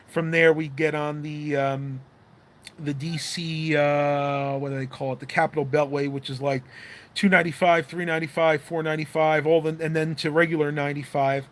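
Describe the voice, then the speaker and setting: A man's voice: on the high side, level tone, normal speed. A man hosting a casual livestream, rambling through viewer comments with lots of fillers while his voice goes dry and fades. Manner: rambling, chatty, with many fillers. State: losing his voice, dry mouth. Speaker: livestream host.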